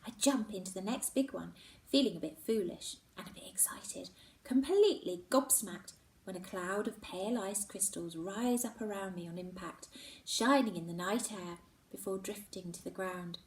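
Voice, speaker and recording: A woman reading a children's novel aloud in first person, expressive and dropping into hushed whispers. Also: medium pitch, moderate pace, far from the mic, slight background noise. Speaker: children's book reader. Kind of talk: first-person storybook narration. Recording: roomy echo, set back from mic.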